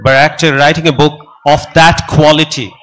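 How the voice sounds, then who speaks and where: A man moderating an onstage conversation, with formal, slightly halting phrasing. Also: a little high, level tone, fairly bright tone. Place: festival stage before an audience.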